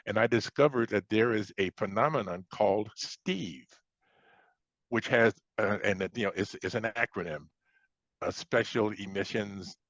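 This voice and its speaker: An American man with a low voice talks in a casual interview. He circles back and repeats his phrases conversationally. The recording is dry and a little dull. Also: moderate pace, fairly bright tone, dry recording. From Chicago, United States. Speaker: science fiction author, interview guest.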